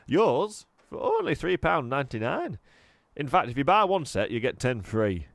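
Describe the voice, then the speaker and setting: An eager, insistent shopkeeper, as a man reading aloud puts him on. His voice sits a little raised and bounces with salesman's energy. He pushes every pitch hard. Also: huge pitch swings, moderate pace, vocal fry, fairly bright tone, no room sound, exaggerated voice. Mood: eager salesy. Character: eager, pushy male shopkeeper.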